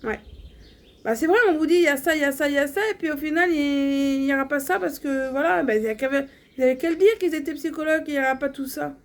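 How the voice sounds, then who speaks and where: Young woman vlogging, high voice, blunt and frank, lots of ums and restarts. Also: fast talker. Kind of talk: casual personal vlog monologue.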